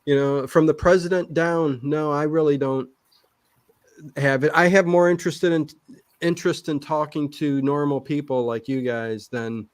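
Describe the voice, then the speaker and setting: A man's voice: a little high, fairly even intonation, normal speed, clean tone, no room sound. A middle-aged man talks off the cuff as a livestream host. His speech rambles and doubles back on itself. The sound is dry, with very little room in it. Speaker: livestream show host being interviewed. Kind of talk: casual livestream chat.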